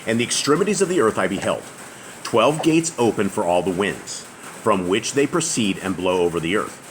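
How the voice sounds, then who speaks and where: Host for home bible study: very deep, booming man reading aloud, faint outdoor noise behind. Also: very animated. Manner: loud booming voice, reads aloud with pauses. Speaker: host leading a family scripture reading. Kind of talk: casual group Bible study. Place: house open to the outside, noisy roof. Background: faint outdoor ambience.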